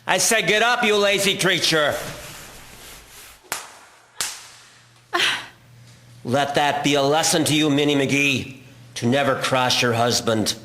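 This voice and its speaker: A man's voice: a little high, moderate pace, bright, forceful tone. Harsh, domineering husband, acted by a man. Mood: angry, scolding. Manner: stern, commanding, scolding. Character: harsh, domineering, abusive husband in 1910s rural household. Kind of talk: dramatic historical audio play acting.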